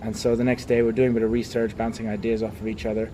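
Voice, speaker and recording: A man's voice: fairly low pitch, fast talker, slight background noise. A young man from Hong Kong, a former travel agent, telling travel stories in a casual interview. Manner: relaxed storyteller, animated when telling anecdotes.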